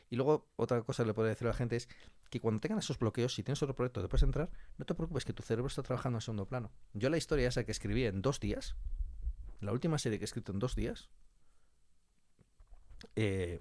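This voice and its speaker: A man's voice: medium pitch, darkish tone, no room sound. A man from chatting informally as an interview guest in a studio, joking and repeating points for emphasis. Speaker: interview guest, audio fiction scriptwriter. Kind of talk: casual interview conversation.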